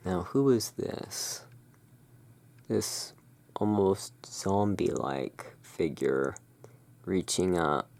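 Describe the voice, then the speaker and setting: A person playing the soft-spoken, musing owner of a gothic curiosity emporium in a halting, hushed ASMR roleplay. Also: sits low, vocal fry, full character voice.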